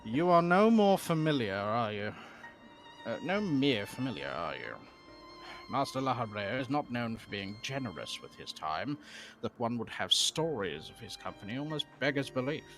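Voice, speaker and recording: A stiff, courtly official speaks in archaic phrases, his pitch rising and falling with lively swings, as a man voices him on a stream. Faint game music runs underneath. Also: normal speed. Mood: stern. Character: formal male official guarding a research facility. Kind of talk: voicing game dialogue on a live stream.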